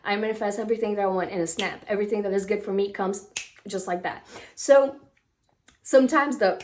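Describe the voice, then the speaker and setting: A woman's voice: mid-range voice, brisk, a little hiss or hum. A woman with a Brazilian accent, a Portuguese speaker, talking casually to camera as a manifestation coach, full of "like" and "um". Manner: chatty, with many "like" and "um" fillers.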